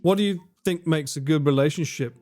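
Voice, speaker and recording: A man's voice: on the high side, a little fast, darkish tone, faint music behind. A man streaming live, chatting casually and crudely, laughing often.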